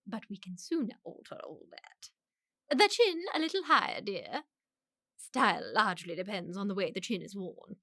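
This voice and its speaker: Posh english society matriarch, imperious and pompous, really swoopy voice, dry close sound, radio play. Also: female voice, medium pitch, expressive, bright, forceful tone, dry recording. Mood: condescending. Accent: upper-class English. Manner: pompous, emphatic, grandly drawn-out pronouncements. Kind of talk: radio play comedy of manners.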